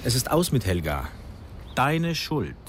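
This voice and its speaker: A man's voice: medium pitch, big pitch swings, unhurried, a little hiss or hum. An actor playing a theatrical, self-pitying intellectual man in his thirties in a radio drama, speaking with grandiloquent mock pomposity. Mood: mock indignant.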